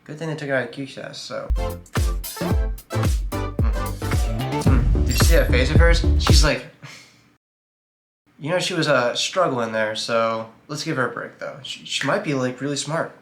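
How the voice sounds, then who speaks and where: A man's voice: mid-range voice, measured pace, long pauses, faint music behind. Casual joking man in a roomy room. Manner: loose, joking, swears casually. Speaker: reaction video host. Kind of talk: casual reaction commentary. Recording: roomy echo, faint noise.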